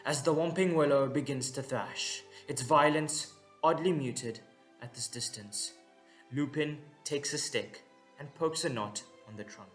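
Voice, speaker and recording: A man's voice: mid-range voice, unhurried, soft background music. A man giving dramatic scripted narration for an audio drama.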